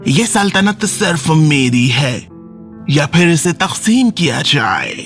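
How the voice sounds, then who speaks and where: An actor playing an angry, jealous elder prince in a children's cartoon fairy tale. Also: male voice, fairly high pitch, sing-song, fairly bright tone, faint music behind. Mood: angry, demanding.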